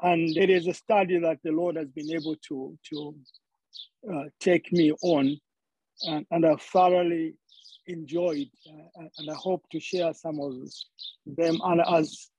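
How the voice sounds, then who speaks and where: A man's voice: fairly high pitch, steady tone, a little slow, darkish tone, slightly rough, no echo, poor audio. A man from Zambia preaching a sermon, often repeating words as he searches for the next phrase.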